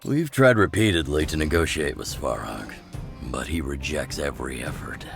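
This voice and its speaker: A very deep, gravelly older leader. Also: male voice, animated, normal speed, full character voice. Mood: grave, measured. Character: older male underground leader, gruff yet kindly, measured. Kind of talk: video game story dialogue. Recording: clear music under the voice.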